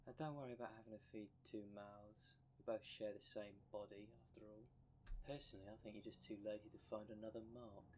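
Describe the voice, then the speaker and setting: Man playing a sardonic, low-pitched impatient demon. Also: fairly even intonation, brisk, darkish tone, a little hiss or hum, close to an everyday voice. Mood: needling. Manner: blunt, sardonic, slangy. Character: impatient, sarcastic, instinct-driven half of a dream demon. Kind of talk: ASMR roleplay audio drama.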